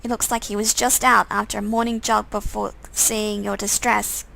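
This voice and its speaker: A woman's voice: medium pitch, level tone, moderate pace, gravelly, bright, forceful tone, poor audio. A woman streamer reading a visual novel game aloud as second-person story narration.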